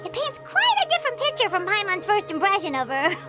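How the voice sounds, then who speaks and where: Squeaky, excitable little fairy girl. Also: quick pace, full character voice. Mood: curious, chatty. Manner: speaks of herself in third person, exclamatory, chirpy. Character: tiny chatty floating fairy girl companion, childlike, excitable. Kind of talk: animated game voice acting. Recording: game music behind the voice.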